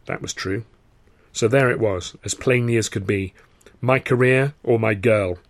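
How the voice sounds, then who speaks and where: A man reading a first-person audiobook in a wry, expressive storytelling manner. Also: on the low side, measured pace, no room sound. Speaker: author reading own novel.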